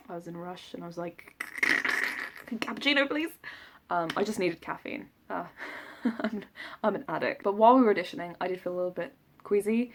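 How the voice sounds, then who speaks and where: Young southern English woman, low-pitched vlog chat. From south of England. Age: 20s. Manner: self-deprecating, jokey. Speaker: vlogger recounting an audition. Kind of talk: casual rambling vlog chat.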